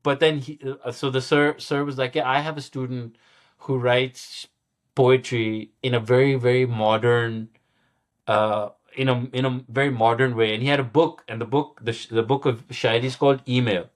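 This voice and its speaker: Halting middle-aged man telling stories. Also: medium pitch, fairly even intonation. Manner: halting, many restarts and repeated words; chuckles at himself often. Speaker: guest musician. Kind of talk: casual interview storytelling.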